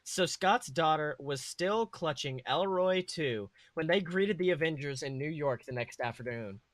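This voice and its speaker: A man narrating a story aloud as a podcast host, animated and sometimes stumbling over the text. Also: on the high side, unhurried, no echo. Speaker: podcast host reading fan fiction.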